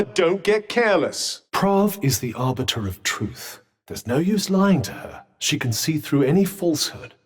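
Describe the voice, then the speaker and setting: A man voicing a wry, sardonic male talking magic bracelet in video game voice lines, with dry, formal, urbane speech. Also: high-pitched. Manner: urbane, teasing, measured. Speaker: companion character.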